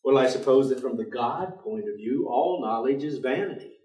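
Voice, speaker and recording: A man's voice: medium pitch, expressive, normal speed, dark tone, highs cut off, close to an everyday voice. Echoey, dry, bleak male professor played by an actor. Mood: cynical. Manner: dry, precise, formal, pessimistic. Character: bleak, weary, stubborn intellectual professor, an unbeliever. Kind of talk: staged play reading. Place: indoor theater stage. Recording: echoey theater, distant microphone.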